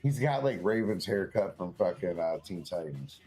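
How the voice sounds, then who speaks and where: A man's voice: low-pitched, animated, normal speed, darkish tone. A man giving casual, slangy and sometimes profane wrestling commentary as a guest co-commentator.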